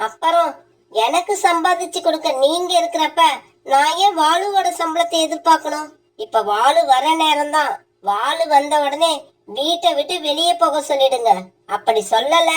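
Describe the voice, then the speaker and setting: Woman voicing greedy wife bird, cartoon, bossy, scolding, very high voice. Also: moderate pace, natural voice, low-quality recording. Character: greedy young wife bird, sharp-tongued.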